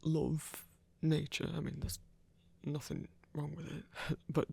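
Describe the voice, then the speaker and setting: A man playing an awkward, protective werewolf hunter who lives rough, speaking hesitantly and breathily in an intimate audio roleplay. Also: fairly high pitch, completely flat delivery, takes it slowly, a little mellow, no echo, exaggerated voice. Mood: shy, reflective. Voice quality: breathy, husky. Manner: hesitant, self-effacing, stammering.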